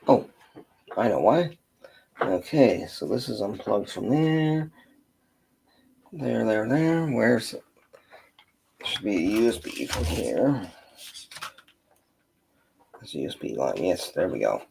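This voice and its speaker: A man's voice: lowish voice, sing-song, slow pace, gravelly, long pauses, a little mellow, a little hiss or hum. A man from Canada hosting a casual livestream, thinking aloud in a distracted mutter as he fiddles with his equipment. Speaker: livestream host, genealogist.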